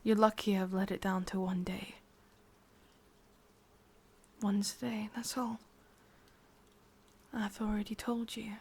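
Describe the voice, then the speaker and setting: A woman with an English accent playing a sadistic, possessive witch in a hushed, breathy ASMR roleplay, slow and coaxing. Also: medium pitch, steady tone. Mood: cold, possessive.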